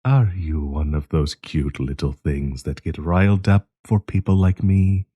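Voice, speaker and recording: A man playing a sinister, possessive werewolf professor in an intimate audio roleplay, coaxing and menacing, with a husky, breathy voice. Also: extremely low pitch, warm tone, dry recording, heavily acted. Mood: seductive, possessive. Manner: slow, coaxing, menacing endearments.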